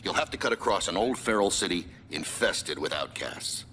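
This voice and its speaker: A man playing a gruff, businesslike military commander who gives terse, authoritative orders in a video game. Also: deep voice, animated, moderate pace, sharp tone. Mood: stern, businesslike. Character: gruff, businesslike male military commander briefing his squad. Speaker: mission commander. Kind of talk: video game cutscene acting. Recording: very noisy game audio.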